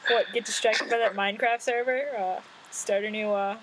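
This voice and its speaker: A woman's voice: mid-range voice, steady tone, moderate pace, low-quality recording. A woman hosting a casual podcast, teasing her guests and laughing easily. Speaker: podcast host. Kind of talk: casual chat.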